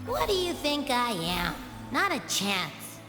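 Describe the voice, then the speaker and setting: A woman's voice: on the high side, very animated, slow pace, music in the background. An actress playing a sleepy, grumpy female companion in a video game, with a drowsy, dismissive manner. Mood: drowsy, irritated. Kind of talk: video game character acting. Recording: long echoing reverb.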